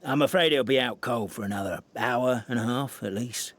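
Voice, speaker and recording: An actor playing a theatrical, dithering official in a fantasy game, hesitant, dramatic and wry. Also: male voice, medium pitch, moderate pace, noisy background, close to an everyday voice. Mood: reassuring. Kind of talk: video game voice acting.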